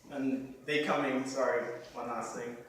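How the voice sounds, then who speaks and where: A man from Haiti and the Bahamas talks informally as an artist about his work. He thinks out loud in filler-laden phrases. The recording is very reverberant and distant, with clear noise behind him. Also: mid-range voice, little pitch movement, a little slow. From born in Haiti, grew up in the Bahamas. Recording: echoey room, distant mic, clear background noise.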